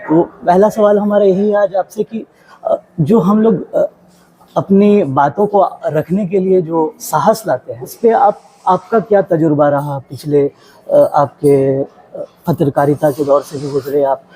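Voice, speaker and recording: A man's voice: a little high, fairly even intonation, unhurried, mellow, low-quality recording. A man working as an interviewer, putting long, meandering and polite questions to a guest. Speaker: interviewer, journalist.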